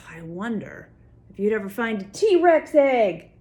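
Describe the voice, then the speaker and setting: Older female, grandmotherly story-time reader. Slow pace, lively swooping intonation, expressive and wide-eyed, talking to little kids. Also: a little high. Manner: expressive, full of wonder, simple explanations for small children. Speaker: grandmother reading a picture book to children. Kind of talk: read-aloud story time with spontaneous asides to a child.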